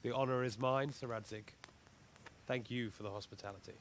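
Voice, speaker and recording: A man performing a travelling nobleman in a dramatic video game. He speaks in formal, courtly phrases at a medium pitch. There is clear noise in the background. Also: steady tone, fairly quick, lightly acted. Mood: polite farewell. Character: polite, worldly knight, smooth-mannered travelling nobleman. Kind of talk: dramatic game cutscene acting.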